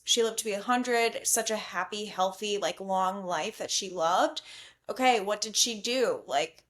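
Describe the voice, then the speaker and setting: A young adult woman hosting a casual podcast chat, talking in a gushing, slangy way peppered with "like". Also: mid-range voice. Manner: gushing, slangy, heavy use of "like". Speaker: podcast host.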